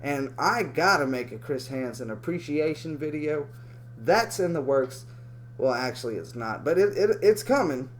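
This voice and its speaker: A man's voice: on the high side, lively intonation, moderate pace, slight background noise. A man giving casual, profane and sarcastic reaction commentary over a video clip.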